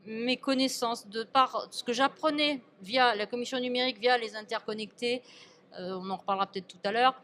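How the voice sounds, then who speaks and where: A woman speaking as an elected local official in an informal interview, halting and often repeating and restarting her words. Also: a little high, a bit bright. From France.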